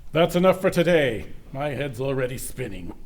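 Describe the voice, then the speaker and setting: An actor playing the narrator of a space adventure radio serial, with a theatrical scripted delivery. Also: male voice, medium pitch, animated, moderate pace, a little mellow, a little hiss or hum, natural voice.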